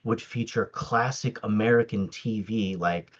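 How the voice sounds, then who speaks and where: A man's voice: fairly low pitch, unhurried, lo-fi sound. A man whose first language is Tagalog, chatting casually as a podcast guest, with lots of fillers and restarts. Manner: hesitant, many fillers and restarts, laughs often. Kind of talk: casual interview chat.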